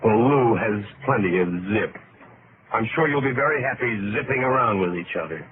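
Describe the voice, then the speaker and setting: An actor playing a meek, self-doubting lawyer with a hesitant, dryly sarcastic manner in a 1950s radio drama. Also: male voice, medium pitch, animated, normal speed, lightly acted. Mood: wry, bitter. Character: meek, self-doubting, henpecked bachelor lawyer, sardonic and nervous. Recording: noisy, tinny old-time radio recording.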